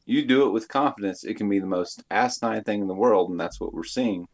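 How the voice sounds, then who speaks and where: Low-ish guy musing out loud in a podcast chat, keeps asking right?, dry sound. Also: brisk, dry recording. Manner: reflective, musing, many tag questions. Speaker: podcast co-host. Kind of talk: casual group chat.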